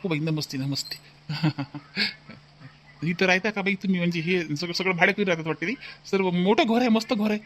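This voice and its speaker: High-voiced folksy older Vidarbha father-in-law played by a man. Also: brisk, a bit bright, slight background noise. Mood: friendly, curious. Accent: Marathi, Vidarbha. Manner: rambling, folksy, repeats phrases. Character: chatty, easygoing older rural father-in-law. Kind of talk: rustic cartoon comedy dubbing.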